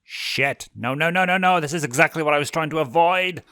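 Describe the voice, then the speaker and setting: A man voicing an excitable, flustered, foul-mouthed male imp from a fan story, blurting in exclamations. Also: on the high side, a little fast, smooth, dry recording, pitched above the performer's own voice. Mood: panicked.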